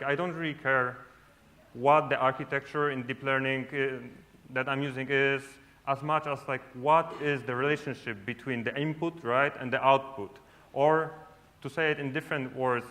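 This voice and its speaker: Bright-voiced man giving a talk. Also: medium pitch. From Poland. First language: Polish. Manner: casual, peppers sentences with "right?". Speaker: conference speaker. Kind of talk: conference talk. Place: large lit stage.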